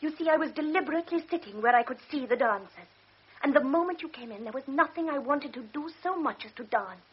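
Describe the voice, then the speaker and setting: An actress playing a proud, highly strung young baroness who cannot walk, in a radio drama, with a breathy voice that swings from shy apology to sharp command. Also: female voice, animated, fast. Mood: apologetic. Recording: dull old radio recording.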